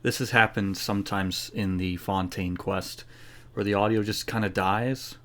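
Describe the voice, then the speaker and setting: A man's voice: lowish voice, fairly even intonation, normal speed, a bit bright. A man giving casual, slangy playthrough commentary as a game streamer, reacting aloud to the dialogue.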